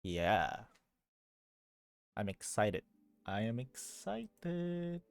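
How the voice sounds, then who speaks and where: Game streamer, casual livestream chat, playful rambling, slow pace, very animated pitch, faint background music. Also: gravelly. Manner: rambling, playful, repeats phrases to self.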